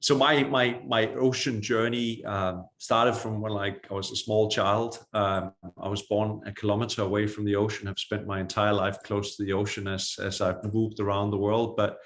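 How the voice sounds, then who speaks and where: A man's voice: on the low side, level tone, normal speed, few pauses, fairly bright tone. A middle-aged man from Denmark introducing himself casually, with hesitant restarts. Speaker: guest speaker, diving instructor.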